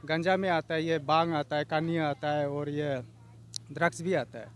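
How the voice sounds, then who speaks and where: A man's voice: on the high side, steady tone, normal speed, a little hiss or hum. A middle-aged man from Arunachal Pradesh, earnestly answering a street interview outdoors by a river into a handheld mic. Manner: earnest, repetitive, appealing. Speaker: vox pop interviewee.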